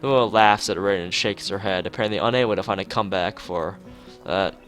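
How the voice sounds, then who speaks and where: A man reading a visual novel aloud as a let's player, casually, with halting, rambling asides. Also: on the low side, a bit bright. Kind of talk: casual game narration with side commentary. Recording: music behind the voice. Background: game music.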